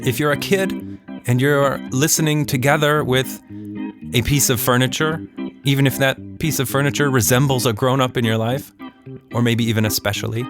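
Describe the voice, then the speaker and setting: A man hosting a children's podcast and telling stories in a playful, mock-serious, unhurried way. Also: mid-range voice. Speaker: podcast host and storyteller. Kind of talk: children's storytelling, casual and scripted.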